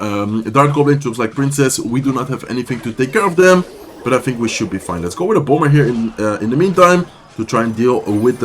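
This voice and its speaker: A man with a sore throat giving fast, casual play-by-play commentary over his own gameplay. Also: mid-range voice, a little hiss or hum. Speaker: gaming YouTuber.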